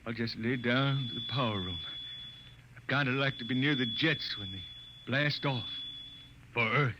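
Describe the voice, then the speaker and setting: A man acting an old blind spaceman who pleads to go home, with a folksy drawl and rough grammar. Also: mid-range voice, normal speed, lo-fi sound, lightly acted. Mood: pleading. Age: older. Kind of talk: 1950s radio drama acting. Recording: noisy old radio broadcast.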